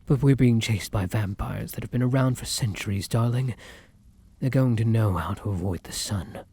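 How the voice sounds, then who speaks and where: A man playing a tender, weary vampire lover in an intimate ASMR romance roleplay, speaking gently and courteously in a breathy voice that often drops into whispers. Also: fairly low pitch, normal speed. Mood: wary, soothing. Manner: gentle, courtly, earnest endearments.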